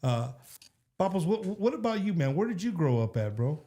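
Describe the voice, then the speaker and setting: Guy hosting, bit higher voice, chatty, keeps repeating himself. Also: sing-song, moderate pace, dark tone. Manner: chatty, repeats words while framing questions. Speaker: host. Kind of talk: casual interview.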